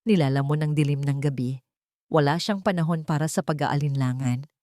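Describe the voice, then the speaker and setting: A woman narrates a romantic drama from a script. Her voice is low for a woman, with a dramatic storytelling style. The recording is very dry, with no room sound. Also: steady tone, a little fast, darkish tone, dry recording.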